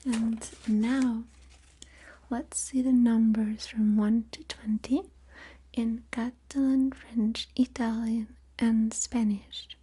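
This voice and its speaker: Woman, whispered ASMR lesson, very slow and airy, mellow tone. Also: a little high, flat delivery, husky, low-quality recording. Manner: soft whispering, listing words slowly. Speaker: language teacher.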